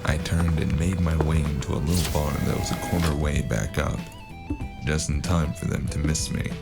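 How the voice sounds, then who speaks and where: A man plays a world-weary cyberpunk gun for hire in a noir audio drama. His voice is extremely low and full of fry, dry and deadpan, with plucked guitar music behind it. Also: moderate pace. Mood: hard-boiled, wry. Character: weary, wry, hard-boiled cyberpunk hired gun narrating his story. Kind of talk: noir first-person narration and audio drama dialogue.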